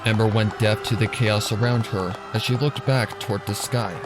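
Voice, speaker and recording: A man's voice: lowish voice, steady tone, moderate pace. A man reading scripted fan fiction as a dramatic narrator. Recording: loud music and effects behind. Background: loud music.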